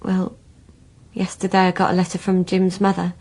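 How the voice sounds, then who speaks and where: A woman's voice: on the low side, monotone, moderate pace, darkish tone. An actress playing a serious, subdued young woman under police questioning in a radio drama. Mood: subdued, flat. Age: young adult. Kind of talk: naturalistic radio drama acting.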